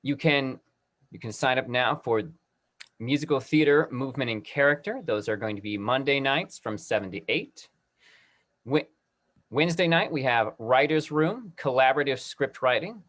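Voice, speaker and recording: A man hosting an online talk-back and making announcements in a halting way, often repeating himself. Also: medium pitch, fairly bright tone. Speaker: host.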